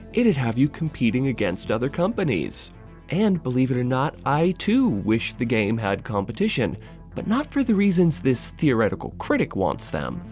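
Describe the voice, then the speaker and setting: Man, video essayist, lively swooping intonation, conversational, sardonic, emphatic, faint music behind. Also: medium pitch. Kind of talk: scripted video essay narration with casual asides.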